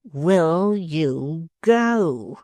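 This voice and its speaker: A man's voice: a little high, lively intonation, very slow, darkish tone, dry recording, studio quality, heavily acted. A man voicing a spiteful, bullying male dwarf in an audiobook, sneering and menacing. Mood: threatening. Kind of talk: audiobook character voice.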